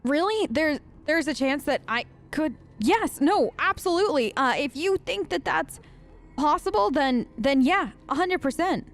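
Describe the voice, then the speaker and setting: A woman's voice: high voice, lively intonation, unhurried. A young woman hosting a role-play let's play who talks eagerly and hesitantly. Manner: hesitant, enthusiastic.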